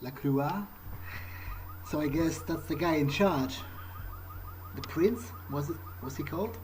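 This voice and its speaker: A man plays a bewildered young male vampire from a video game, musing to himself. His voice is a little high and very slow, with halting, rambling phrases and a dry, sarcastic streak. There is faint noise behind him. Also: a little mellow, low-quality recording. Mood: dry, puzzled. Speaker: gaming role-player. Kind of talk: in-character improvised game monologue, thinking aloud.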